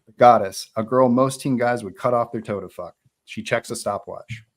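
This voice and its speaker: A man's voice: medium pitch, normal speed, slight background noise. A man reading out screenplay stage directions as narrator at a live table read.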